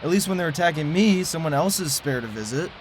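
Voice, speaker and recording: A man's voice: a little high, animated, fast talker, slight background noise, natural voice, rough recording. A man playing a sleepless, jittery conspiracy theorist. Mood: determined. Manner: jittery, stammering, nervous laughs. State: exhausted from lack of sleep. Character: sleepless male conspiracy theorist, stubborn, principled. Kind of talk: audio drama acting.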